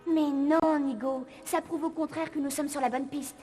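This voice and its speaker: A bold young cartoon girl, voiced by a woman, talks in a high, brisk voice. She is bossy and determined, an adventurer who takes the lead. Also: steady tone, dark tone, natural voice. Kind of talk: French cartoon dubbing.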